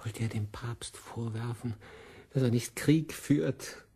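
An actor playing a worldly, condescending cardinal in a radio play, impatient and sardonic. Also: male voice, medium pitch, slow pace, breathy edge. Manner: exasperated, sardonic, swinging from hushed to blustering.

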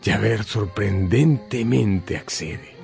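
Guy narrating a radio novel, kinda low voice, dramatic storytelling, music under it. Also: slow pace, vocal fry, a little mellow. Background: prominent music.

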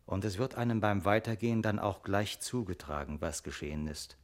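An actor playing a sober, reflective narrator who tells a literary radio tale in a deliberate, thoughtful manner. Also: male voice, on the low side, close to an everyday voice. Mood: grave, musing.

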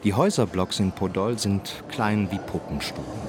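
An actor narrating a radio drama as a detached storyteller, measured and matter-of-fact. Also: male voice, low-pitched, animated, over music. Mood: sober, detached. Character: sober, detached low-voiced male storyteller.

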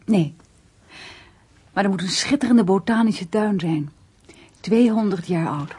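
An actress playing a shy, modest garden designer, speaking softly and hesitantly in a naturalistic radio drama. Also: female voice, mid-range voice, a little slow, breathy edge. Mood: curious, warm. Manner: hesitant, tentative.

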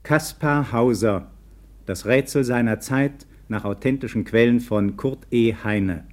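A man reading a formal, scripted announcement as a radio announcer, measured and precise. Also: medium pitch, slight background noise.

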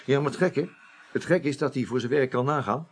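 An actor playing an evasive office superior under questioning in a Dutch radio play, hesitant, rambling and defensive. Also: male voice, mid-range voice, a little fast, close to an everyday voice. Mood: puzzled recounting.

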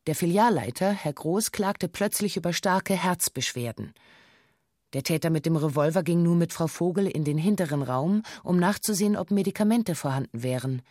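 An actress playing a bank employee who gives a sober, formal witness statement in a radio drama, factual and detached. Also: female voice, lowish voice, normal speed, a little mellow, dry recording, studio quality, lightly acted. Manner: factual, detached, precise official phrasing. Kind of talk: scripted documentary radio drama, formal testimony.

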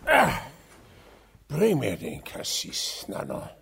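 An actor playing a sly, blustering old provincial miser in a radio drama, muttering and exclaiming theatrically. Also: male voice, mid-range voice, huge pitch swings, slow, gravelly, fairly bright tone, a little hiss or hum, heavily acted. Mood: brisk, excited. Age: older.

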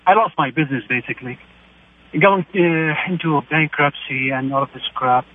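A man's voice: fairly high pitch, normal speed, slight background noise. A man from Iraq calling in to a radio talk show, earnest and searching as he talks about his situation. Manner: earnest, searching, plain-spoken. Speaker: caller. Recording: phone line.

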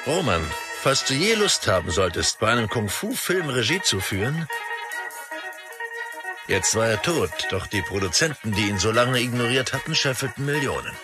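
A man's voice: mid-range voice, big pitch swings, moderate pace, natural voice. An actor playing a film director who reflectively reads his memoir in a radio drama. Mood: wistful. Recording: music playing behind.

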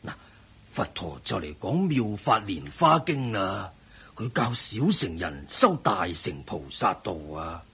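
A man acting in a radio drama as a genial, learned Buddhist lay sage, with a measured, preaching and expressive manner and a husky voice. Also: on the low side, exaggerated voice. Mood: earnest, instructive.

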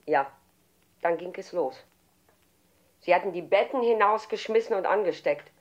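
An actress playing a housewife eyewitness in a documentary radio drama, recounting events in plain colloquial speech. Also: female voice, on the low side, moderate pace, lightly acted. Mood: indignant recollection.

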